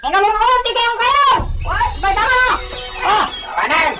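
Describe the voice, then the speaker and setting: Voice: very high-pitched, expressive, normal speed, heavily acted. An actor playing a frantic, panicking treasure hunter in an Ilocano audio drama. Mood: panicked. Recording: loud music over the voice.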